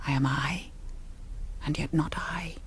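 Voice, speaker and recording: Young vampire countess, lowish voice, breathy half-whisper, mournful and hungry, theatrical radio drama, slightly hissy recording. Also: female voice, steady tone, measured pace. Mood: haunted, hungry. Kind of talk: 1970s radio drama acting.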